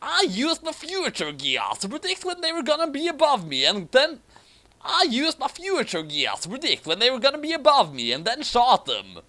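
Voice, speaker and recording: A man's voice: extremely high pitch, huge pitch swings, normal speed, pushed and bright, pitched above the performer's own voice, exaggerated voice. A man voicing a gleeful, boastful gun-toting villain in a comic story reading. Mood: gloating, boastful.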